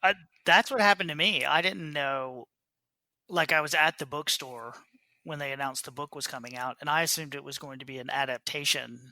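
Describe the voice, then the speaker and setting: Bright, higher-voiced man chatting. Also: moderate pace, dry recording, low-quality recording. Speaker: podcast co-host. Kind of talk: casual chat.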